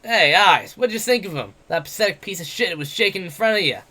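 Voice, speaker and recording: A man voicing a brash, mocking, drunk male wolf-man adventurer, loud and jeering. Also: high voice, animated, fairly quick, slight background noise, pitched above the performer's own voice. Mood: sneering.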